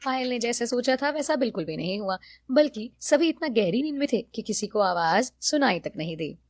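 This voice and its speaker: A woman's voice: a little high, very animated, fairly quick. A woman narrating a scripted cartoon story.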